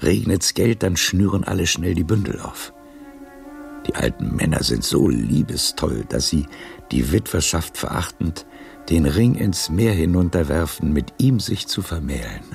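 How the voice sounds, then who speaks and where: An old adventurer over seventy in a radio drama, with a very deep, husky voice. He is a worldly, tender storyteller who savors his lines slowly, with big swings of pitch. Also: very animated, darkish tone, soft background music, full character voice. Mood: dreamy, teasing. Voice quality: gravelly, husky. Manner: theatrical, savoring, drawn-out delivery. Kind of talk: poetic German radio play acting.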